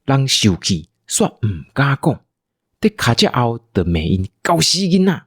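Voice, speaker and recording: A woman's voice: extremely low pitch, sing-song, unhurried, breathy edge, no room sound, studio quality. A woman with a Taiwanese accent hosting and narrating a playful scripted story. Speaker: podcast host and storyteller.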